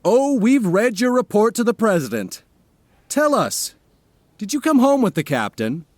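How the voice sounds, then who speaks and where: An actor playing an officious, condescending army major who is easily flustered, in an audio drama. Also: male voice, high voice, sing-song, measured pace, a little hiss or hum. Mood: smug. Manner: smug, needling.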